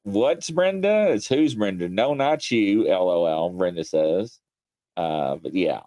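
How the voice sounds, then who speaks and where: Man, low voice, lively, teasing, casual chat, dry recording. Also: slow, no echo. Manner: joking, teasing. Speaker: co-host.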